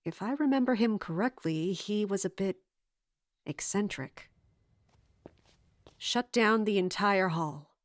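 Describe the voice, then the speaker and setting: Measured, resolute fantasy guard captain. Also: female voice, mid-range voice, animated, no echo. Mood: scheming, decisive. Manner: formal, measured, commanding. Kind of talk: fantasy audio drama acting with first-person narration.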